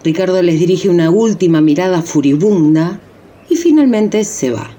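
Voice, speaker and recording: A woman's voice: lowish voice, expressive, moderate pace, mellow, close to an everyday voice. A woman narrating a radio drama from a script.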